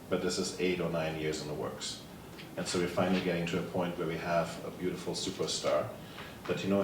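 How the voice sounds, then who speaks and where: A man from Germany with a German accent gives a conference presentation, telling stories in a casual, upbeat way and answering questions. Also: sits low. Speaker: table tennis program leader presenting. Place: conference room. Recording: echoey room, from far off, noisy.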